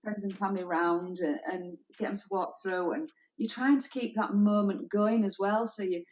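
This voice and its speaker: A woman's voice: mid-range voice, steady tone, normal speed, darkish tone, poor audio. A woman in the middle of her register talks through her wedding work. She is easygoing, with small hesitations and restarts. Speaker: wedding photographer guest. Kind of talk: casual chat.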